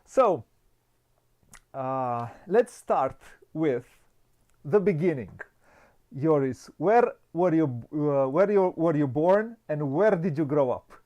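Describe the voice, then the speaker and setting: A man's voice: a little high, expressive, slow pace, pauses often, dark tone, highs cut off. A man hosting a casual interview, talking hesitantly with many fillers and restarts. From Romania. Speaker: interview host.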